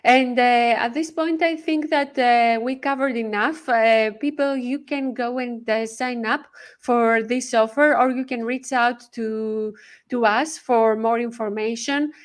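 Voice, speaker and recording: Woman podcast host, higher-pitched, brisk, pauses with fillers. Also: steady tone. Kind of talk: hosting a live podcast interview.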